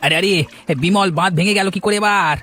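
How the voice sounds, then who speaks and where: A man's voice: high-pitched, steady tone, a little fast, bright, forceful tone, a little hiss or hum. A man voicing a worried, dutiful village farmer in cartoon dialogue.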